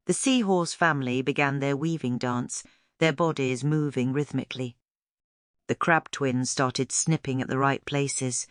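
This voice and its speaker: Low, crisp-voiced woman storyteller. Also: no echo, clean studio sound. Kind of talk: scripted children's read-aloud narration.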